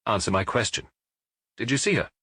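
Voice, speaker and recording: A man voicing a brisk, suspicious provincial police inspector in an audiobook, businesslike and insistent. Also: on the low side, lively intonation, bright, forceful tone, breathy edge, no room sound, studio quality, exaggerated voice.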